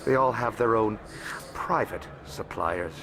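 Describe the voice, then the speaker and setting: An actor voicing a courteous, worldly alien merchant in a video game, speaking formally and musingly. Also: male voice, fairly low pitch, a little slow, background noise.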